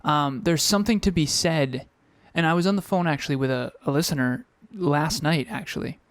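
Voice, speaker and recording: A man co-hosting a podcast in casual chat, talking reflectively and filling pauses with um, recorded at home with a fan running. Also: on the high side, no room sound, clean studio sound. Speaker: podcast co-host.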